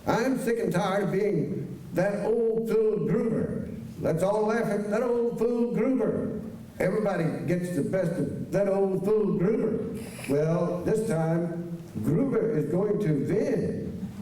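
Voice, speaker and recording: Man playing an aggrieved old man, high-pitched, slow, stubborn, plaintive, radio western, echoey distant auditorium sound. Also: warm tone. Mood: bitter. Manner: insistent, repeats himself, plaintive. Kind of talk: staged radio western drama. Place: high school auditorium stage. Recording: echoey auditorium, distant mic, faint noise.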